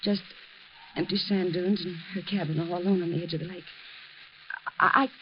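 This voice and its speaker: An American actress playing a terrified, haunted young woman in a 1950s radio drama, halting and stammering breathlessly. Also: fairly low pitch, fairly even intonation, close to an everyday voice. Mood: anxious, haunted. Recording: muffled, noisy vintage radio recording.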